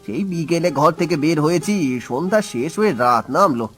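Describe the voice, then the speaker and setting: A man voicing a poor village farmer and father in a folk-tale cartoon, gentle and humble. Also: fairly high pitch, lively intonation, brisk, faint music behind. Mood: affectionate. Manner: affectionate, humble.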